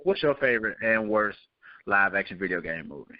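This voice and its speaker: A man's voice: fairly low pitch, lively intonation, fairly quick, no room sound. A man co-hosting a podcast with casual, playful, emphatic banter. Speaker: podcast co-host. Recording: slightly dull, dry radio-like sound.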